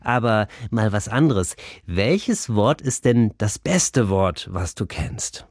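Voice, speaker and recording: Lively man narrating a kids' show. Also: lowish voice, slow, dry recording, clean studio sound. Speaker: children's TV presenter. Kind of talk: scripted narration for kids.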